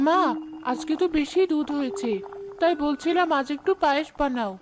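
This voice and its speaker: A woman's voice: high-pitched, normal speed, background noise, lightly acted. A woman playing a young, petulant village daughter in a cartoon story. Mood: eager. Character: sulky grown village daughter, young and hungry. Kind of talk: Bangla cartoon story dialogue.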